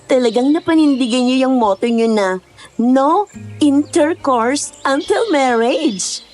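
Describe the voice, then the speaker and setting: A woman's voice: sits high, lively intonation, slow, a little mellow, soft background music, poor audio. A woman with a Filipino accent playing a gossipy, superstitious young hiker in a conversational audio drama. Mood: chatty.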